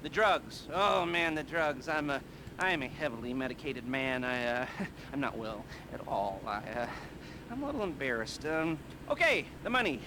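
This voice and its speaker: An actor playing a nerdy, excitable heist mastermind in a video game, sardonic and halting, with a gravelly edge and a rambling way of talking. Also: male voice, medium pitch, noisy background, natural voice. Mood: embarrassed, flustered. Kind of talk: video game cutscene and mission dialogue acting.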